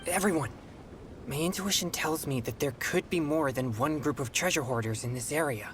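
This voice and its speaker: A man's voice: a little high, moderate pace, fairly bright tone, slight background noise, lightly acted. A man voicing an earnest, serious teenage boy in a video game, speaking in a straightforward way. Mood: serious, thoughtful. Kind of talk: English video game voice acting.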